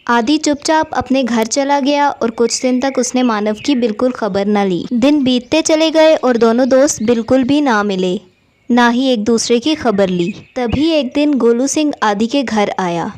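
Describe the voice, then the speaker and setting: A woman's voice: a little high, measured pace, darkish tone. A woman reading scripted narration for a children's cartoon story.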